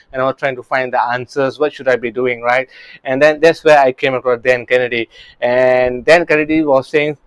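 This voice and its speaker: A man's voice: mid-range voice, smooth, dry recording, low-quality recording. A man in his thirties from Singapore, a real estate coach talking earnestly about his early career, often restarting his sentences. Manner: earnest, many restarts and "you know, right?" tags.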